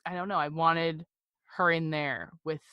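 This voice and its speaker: A woman's voice: low-pitched, fairly even intonation, unhurried, clear, resonant tone, dry recording, highs cut off. A woman in her forties speaking candidly as a podcast guest, in a reflective, rambling, conversational way. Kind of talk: candid personal interview conversation.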